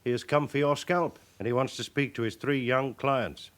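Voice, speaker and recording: An actor playing a British chief inspector in a radio crime drama, speaking in a dry, formal, measured manner. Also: male voice, medium pitch, steady tone, a bit bright, natural voice. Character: senior police chief inspector, dryly authoritative.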